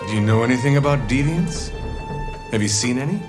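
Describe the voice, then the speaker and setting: An actor playing a polite but probing policeman in a video game, with measured, courteous questioning. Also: medium pitch, lively intonation, lightly acted. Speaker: police officer character. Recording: game audio with music underneath.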